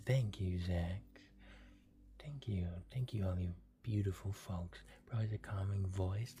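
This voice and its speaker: Low mellow guy whispering comforting stuff on a livestream, asmr vibe. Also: a little slow, slight background noise. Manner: soft, gentle whispering with comforting affirmations. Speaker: livestream host.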